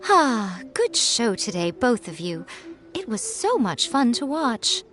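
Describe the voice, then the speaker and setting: A sly, elegant fox priestess from a video game. Her voice is fairly high and very lively in pitch, coy and teasing, with measured phrasing over background music. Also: heavily acted. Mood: pleased, coaxing. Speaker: game character.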